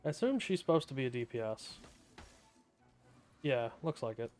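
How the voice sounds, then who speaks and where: A laid-back male streamer who chats casually and jokes in slang while he plays. His voice sits at a mid pitch, and soft game music plays in the background. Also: sing-song, normal speed. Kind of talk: casual gameplay commentary.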